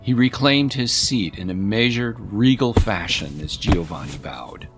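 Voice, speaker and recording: A man's voice: fairly low pitch, animated, unhurried. A man narrating a scripted story as an audiobook narrator, in a dramatic storytelling style. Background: music.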